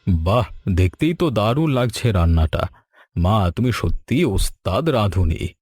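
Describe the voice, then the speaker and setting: A man's voice: on the low side, big pitch swings, measured pace, darkish tone, breathy edge, no room sound, heavily acted. A man voicing a cheerful, appreciative family man in a cartoon story. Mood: pleased. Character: cheerful, appreciative family man visiting his village home. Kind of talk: cartoon story dialogue in Bengali.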